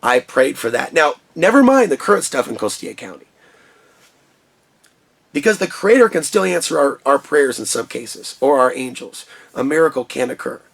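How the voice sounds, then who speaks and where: A man with a booming voice talking through a personal vlog monologue in an impassioned, ranting way from his shed studio. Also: animated, moderate pace, creaky, fairly bright tone. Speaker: vlogger.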